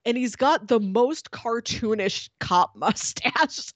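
A woman chatting casually as a podcast co-host, telling stories in an animated, playful way. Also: on the high side, slow, dry recording. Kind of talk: casual chat.

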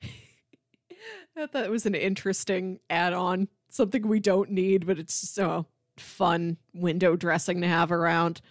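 A woman co-hosting a podcast in casual review chat, inquisitive and thinking out loud. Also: lowish voice, fairly even intonation, unhurried, no room sound, clean studio sound. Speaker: podcast co-host.

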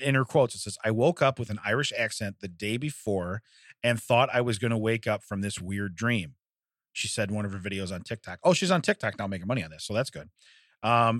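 A man's voice: fairly low pitch, fairly bright tone, dry recording, clean studio sound. A man in his forties co-hosting a comedy podcast, chatting casually and reading out odd news with rambling, jokey asides. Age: 40s.

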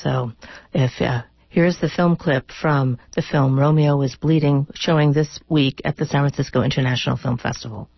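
A woman's voice: a bass voice, fairly even intonation, normal speed, vocal fry, no echo. Dry, slightly muffled woman radio interviewer. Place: radio studio.

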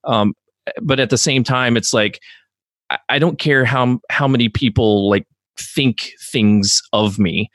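A man's voice: medium pitch, measured pace, fairly bright tone, dry recording. A man as a podcast guest, speaking earnestly and with self-deprecation about his feelings. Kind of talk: casual interview conversation.

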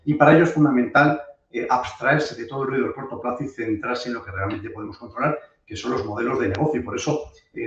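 A man from with a cold, presenting an investment webinar, hesitant with many fillers and restarts. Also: mid-range voice. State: hoarse from a cold. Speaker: webinar speaker, fund manager. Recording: distant, echoey, muffled.

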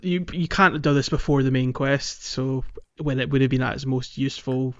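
A man's voice: mid-range voice, level tone, brisk. A man co-hosting a podcast in a casual chat about gaming, on a dry, slightly dull recording. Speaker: podcast co-host.

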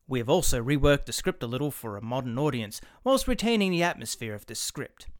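A man hosting a radio play series, reading a scripted introduction in a formal, measured way. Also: mid-range voice, expressive, no echo, clean studio sound. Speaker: host.

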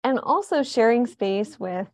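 A woman's voice: on the high side, animated, unhurried. A woman with an American accent hosting a conversational interview, phrasing her thoughts in a reflective, tentative way. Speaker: host, arts reporter.

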